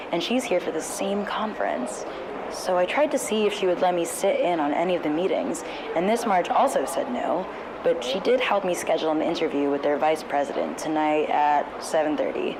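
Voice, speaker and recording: An actress playing an eager teenage cub reporter dictating a casual tape diary, recorded with outdoor noise. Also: female voice, low-pitched, lightly acted. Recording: noisy outdoor tape with street ambience.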